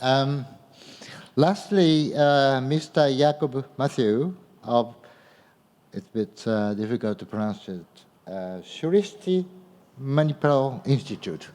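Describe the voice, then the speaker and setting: Slow, hesitant older man moderating. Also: medium pitch, darkish tone. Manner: hesitant, stumbling over words. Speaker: moderator introducing speakers. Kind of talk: formal introduction. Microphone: microphone on a stand. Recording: echoey hall.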